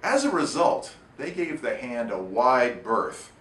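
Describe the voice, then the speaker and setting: Echoey, animated male author reading aloud. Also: mid-range voice, slow pace. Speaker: author reading own story. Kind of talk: expressive literary story reading. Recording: echoey room, distant mic, faint noise.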